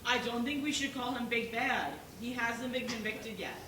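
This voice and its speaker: A female amateur actor playing a fair-minded, reasonable pig juror in a stage play, measured and questioning. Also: on the high side, sharp tone. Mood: firm. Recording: echoey noisy room, distant mic.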